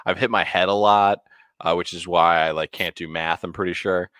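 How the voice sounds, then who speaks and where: Laid-back deep-voiced man in his twenties. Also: steady tone, moderate pace, fairly bright tone, clean tone, dry recording. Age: 20s. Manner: laid-back, swearing, stumbling restarts. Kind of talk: casual chat.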